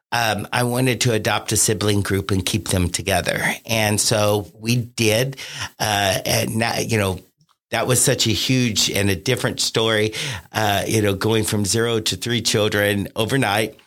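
Earnest, measured man in conversation. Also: on the low side, a bit bright. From Cincinnati area. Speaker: podcast guest, consultant and franchisee. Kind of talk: conversational interview.

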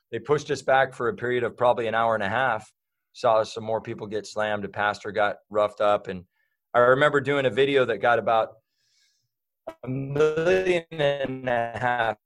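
A man talking as a guest in a casual interview, rambling with lots of fillers and restarts. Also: mid-range voice.

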